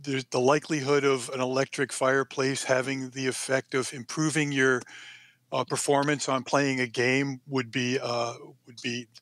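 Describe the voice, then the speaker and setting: Guy on a podcast video call, dry sound no echo, kinda halting, rewords stuff as he goes. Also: medium pitch, level tone, fairly bright tone. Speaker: podcast panelist. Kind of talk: casual chat. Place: indoor room on a video call.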